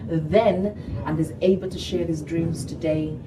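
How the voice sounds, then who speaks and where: A young woman with a Ugandan accent talks animatedly about her music in an interview. Her voice sits slightly low. She is picked up from some distance, with noticeable background noise. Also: a little slow. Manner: animated, sprinkled with "you know". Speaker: gospel singer and guest. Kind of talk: interview conversation. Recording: distant mic, noisy background.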